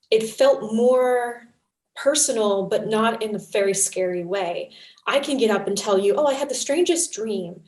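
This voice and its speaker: Expressive woman in an echoey room. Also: mid-range voice. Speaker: fiction podcast creator and panelist. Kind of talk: conversational panel discussion. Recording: roomy, echoey sound.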